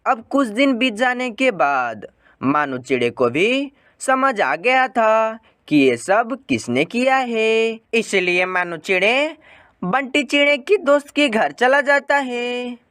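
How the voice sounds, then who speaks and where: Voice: mid-range voice, animated, slow pace, fairly bright tone, no echo, clean studio sound. A storyteller reading scripted narration for a children's bird fable.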